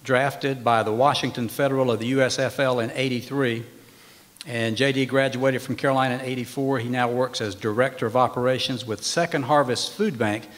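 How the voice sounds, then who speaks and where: A man at a podium microphone leads a formal awards ceremony. He reads the honoree's record in measured phrases and leans hard on the key figures. His voice sits at an average pitch, and a long echo trails it around a big banquet hall. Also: steady tone. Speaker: master of ceremonies. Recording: long reverb of a large hall.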